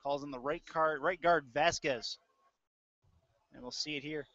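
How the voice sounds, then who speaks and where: A man with a fairly high voice gives live football play-by-play in a conversational manner. The sound is dry and slightly dull, like a lo-fi audio stream. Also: unhurried, fairly bright tone, dry recording. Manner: conversational between plays. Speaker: play-by-play sports announcer.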